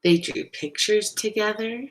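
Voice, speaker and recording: A woman reading a picture book aloud to young children in an expressive, deliberate way, as a storyteller. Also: medium pitch, poor audio. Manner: animated, deliberate, pauses to ask listeners questions.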